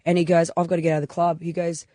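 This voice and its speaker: A woman raised in Australia, a guest on a radio show, telling animated stories in casual chat. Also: lowish voice, rapid-fire. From born in Croatia, raised in Newcastle, Australia. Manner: chatty, animated storyteller.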